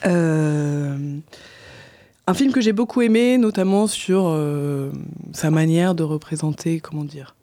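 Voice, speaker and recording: A woman giving an unscripted film review on radio, thoughtful and articulate with hesitant pauses. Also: deep voice, dark tone, no echo. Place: radio studio.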